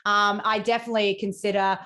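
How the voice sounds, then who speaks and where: A woman's voice: mid-range voice, level tone, quick pace, pushed and bright. An Australian woman hosting a podcast, talking in a casual, effusive way with rambling restarts. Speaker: podcast host. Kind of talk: casual interview chat.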